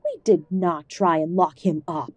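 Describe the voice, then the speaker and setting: A woman's voice: fairly low pitch, measured pace, darkish tone, no echo. A woman playing a middle-aged mother in an audio drama, defensive and reproachful with her grown son. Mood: defensive, scolding. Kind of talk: audio roleplay drama acting.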